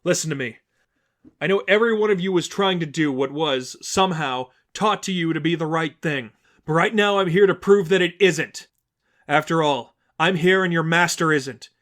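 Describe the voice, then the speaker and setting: A man's voice: fairly high pitch, normal speed, a bit bright, voice pushed up, natural voice. A man voicing a defiant, earnest young woman in a dramatic story reading, speaking emphatically as if rallying a crowd. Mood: firm conviction. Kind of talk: dramatic audiobook-style fan fiction reading.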